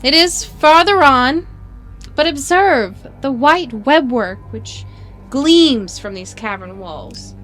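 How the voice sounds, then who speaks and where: A woman acting in an audio drama as a scheming aristocrat, speaking formal archaic lines with measured pauses and feigned concern. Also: high voice, expressive, a bit bright, faint music behind, exaggerated voice. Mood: falsely solicitous. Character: scheming aristocrat feigning solicitous friendship, secretly vengeful.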